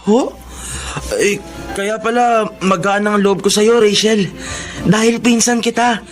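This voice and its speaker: Higher-pitched earnest young Filipino man. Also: flat delivery, slow pace, soft background music. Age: young adult. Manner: respectful, earnest. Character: polite, humble young student and former janitor. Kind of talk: radio drama acting.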